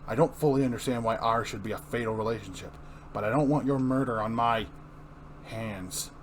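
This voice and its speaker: A man's voice: medium pitch, a little fast, a little hiss or hum, close to an everyday voice. A man voicing a grim, earnest and threatening vigilante hero in an amateur comic book reading. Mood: urgent, stern.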